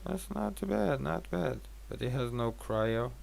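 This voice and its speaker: A man's voice: mid-range voice, expressive, unhurried, creaky, a little mellow. A tired man giving casual gameplay commentary as a streamer, rambling and thinking aloud with some casual swearing. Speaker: game streamer.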